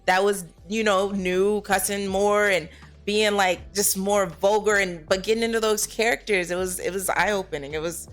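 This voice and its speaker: A woman's voice: medium pitch, steady tone, moderate pace, faint music behind. A young adult American woman speaking casually as an actress being interviewed.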